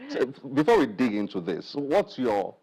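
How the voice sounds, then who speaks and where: Man, book show host, slow and gravelly, halting delivery. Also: mid-range voice, big pitch swings, mellow, poor audio. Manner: halting questions with repetitions, chuckles, short affirmations. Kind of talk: interview hosting.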